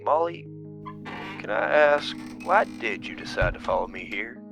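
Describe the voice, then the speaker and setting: A man's voice: medium pitch, big pitch swings, unhurried, music in the background. Man playing an earnest, inquisitive investigator. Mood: concerned, questioning. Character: earnest, inquisitive man searching a murdered tavern keeper's home. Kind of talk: narrative podcast acting.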